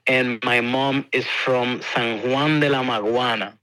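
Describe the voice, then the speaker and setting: A man's voice: medium pitch, steady tone, measured pace, sharp tone, no room sound. A man from the Dominican Republic with a Dominican Spanish accent, a musician answering interview questions in an easygoing way. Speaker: musician guest.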